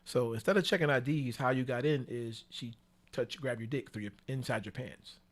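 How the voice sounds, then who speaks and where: A man telling bawdy stories casually as a podcast guest, slangy and animated, acting out what people said. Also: mid-range voice, moderate pace. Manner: animated, slangy, with acted-out quotes.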